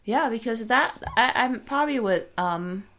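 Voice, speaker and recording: A woman hosting a podcast from her office, curious and quick with follow-up questions. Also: a little high, lively intonation, fairly bright tone. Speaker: podcast host. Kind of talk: casual interview chat.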